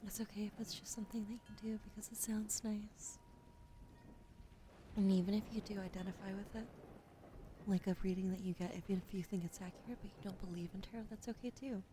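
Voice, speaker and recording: A woman streamer giving a gentle tarot reading, often dropping into a whisper while she greets chat. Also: medium pitch, steady tone, fast talker, noisy background.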